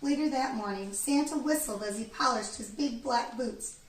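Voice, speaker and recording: A woman reading a children's storybook aloud in an expressive, deliberate storytelling manner. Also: a little high. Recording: echoey room, distant mic, background noise.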